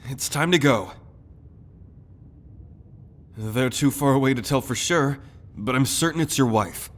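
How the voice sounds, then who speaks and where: A man's voice: mid-range voice, a little slow, natural voice. Man playing an earnest, loyal young prince. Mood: eager, urgent. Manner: earnest, courteous. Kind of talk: fantasy audio roleplay acting.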